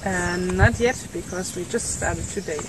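A woman's voice: fairly low pitch, normal speed, far from the mic. A woman from Germany with a German accent, chatting hesitantly as a pilgrim outdoors. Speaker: pilgrim interviewee. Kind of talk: casual chat. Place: outdoor hillside trail. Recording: outdoor camera mic, loud noise.

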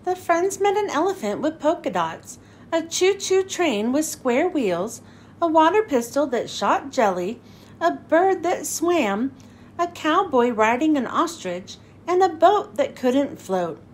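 A woman reading a children's storybook aloud in an expressive, animated, emphatic way. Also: sits high, unhurried, slight background noise. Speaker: children's book reader.

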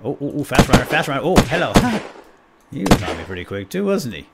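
A man's voice: medium pitch, big pitch swings, fairly quick, gravelly, very noisy. A man hosting a let's play, giving casual gameplay commentary with playful exclamations and asides.

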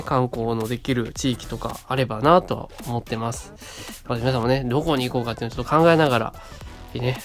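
A man around thirty co-hosting a casual radio show, talking in short phrases with frequent little tag words. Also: mid-range voice.

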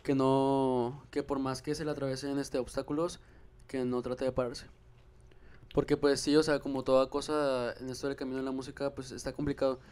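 A man's voice: mid-range voice, level tone, normal speed, darkish tone, clear, resonant tone. A young man, a musician guest in a casual podcast chat, easygoing with hesitant fillers, on a handheld mic in a small room. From Mexico. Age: young adult. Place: small room, seated on a couch. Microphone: handheld microphone.

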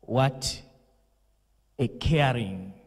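Slow, husky pastor. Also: male voice, medium pitch, big-room sound. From Zambia. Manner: slow, solemn delivery with preacher's emphasis. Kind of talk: condolence message and prayer. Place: indoor studio set with purple lighting. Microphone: handheld mic.

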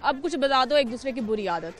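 A young woman with a high, bright-sounding voice hosts a street quiz outdoors in a zoo park, holding a handheld mic. Her manner is lively and coaxing. Faint music and a murmur of crowd sit behind her. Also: a little fast. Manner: lively, laughs often, coaxing. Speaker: TV street-interview host. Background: light music and crowd chatter.

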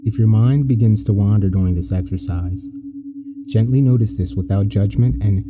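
A man gently and soothingly narrating a scripted guided meditation in measured phrases with frequent pauses. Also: lowish voice, very noisy. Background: prominent meditation music with singing bowls.

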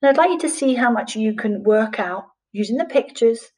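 A woman in her forties teaching young children through a picture book, speaking in a carefully paced, expressive way. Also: fairly high pitch, far from the mic. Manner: slow, clearly paced, with expressive questioning intonation. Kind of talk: storytime lesson for young children. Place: small plain room indoors.

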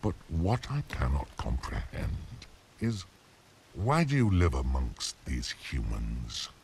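A man voicing a cruel demon emperor in a video game, gravelly and very deep, with grandiose, contemptuous pronouncements. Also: sing-song, a little mellow, slight background noise, heavily acted. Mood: cold menace. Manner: slow, grandiose, theatrical pronouncements. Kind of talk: video game villain acting.

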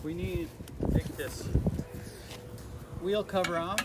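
Slow, easygoing man over loud engine noise. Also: sits high, steady tone. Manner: plain-spoken, easygoing, folksy. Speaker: vlogger and father. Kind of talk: casual vlog narration with faith talk. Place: outdoors in a field and inside a moving pickup cab. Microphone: camera on the dash and handheld camera. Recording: distant mic with loud engine and wind noise.

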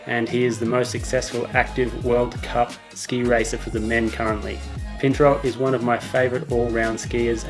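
A young man presenting a ski racing recap vlog from his living room, in a measured, even delivery. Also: mid-range voice. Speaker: ski vlogger. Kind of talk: scripted recap commentary. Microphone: small mic on tripod at desk.

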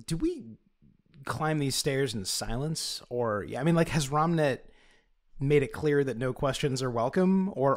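A man playing a tabletop game, chatting casually with wry, joking remarks. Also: medium pitch. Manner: wry, jokey.

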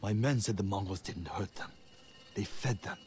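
An actor playing a gruff, troubled warrior who is loyal to his men, in a video game. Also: fairly low pitch, normal speed, a little mellow, faint music behind, natural voice. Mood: baffled, indignant. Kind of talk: video game dramatic acting.

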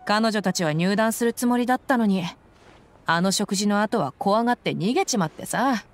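A woman's voice: medium pitch, lively intonation, moderate pace, a little hiss or hum, lightly acted. A woman playing a confident, easygoing young female desert mercenary in an anime game, with blunt tomboyish speech. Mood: teasing, relaxed. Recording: music behind the voice.

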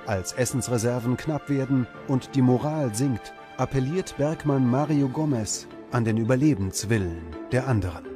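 A man reading scripted documentary narration in a measured, serious way. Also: medium pitch. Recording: music under the narration. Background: background music.

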